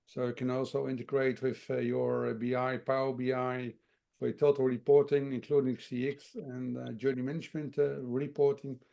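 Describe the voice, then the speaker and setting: Guy hosting a webinar q and a, gravelly and dark, lots of uhs. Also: medium pitch, flat delivery, moderate pace. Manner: hesitant, many fillers. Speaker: webinar moderator. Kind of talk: moderating a webinar Q&A.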